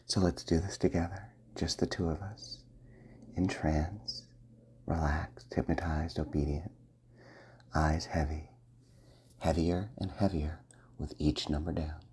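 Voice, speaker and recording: A man giving a slow, soft and commanding hypnosis roleplay in the ASMR style, often dropping to a half-whisper. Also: rumbling low, steady tone, husky.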